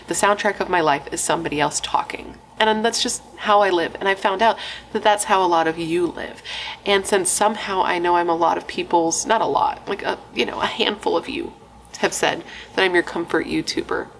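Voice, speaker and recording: A woman's voice: on the low side, a little fast, gravelly, a little hiss or hum, low-quality recording. A young adult woman, a pregnant true crime YouTuber, chatting playfully with dramatic asides and casual swearing.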